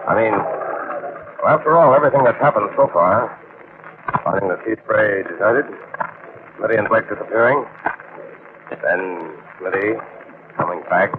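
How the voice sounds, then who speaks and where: Actor as an uneasy, deferential low-voiced ship's officer. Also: male voice, moderate pace, pauses often, lightly acted. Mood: anxious, loyal. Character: deferential, uneasy male ship's officer, loyal second-in-command. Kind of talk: 1940s radio acting. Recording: scratchy, tinny old-time radio recording.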